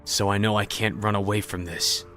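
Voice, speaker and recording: A performer playing a bitter, guarded male survivor in an audio drama, terse and deadpan with a sardonic edge. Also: low voice, moderate pace, soft background music. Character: bitter, guarded, foul-mouthed male survivor, former addict.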